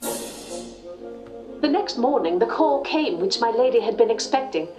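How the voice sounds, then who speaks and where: A woman's voice: a little high, animated, takes it slowly, rough recording. A woman playing a detective's sidekick, narrating a period mystery in a radio drama. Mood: expectant. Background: brass music.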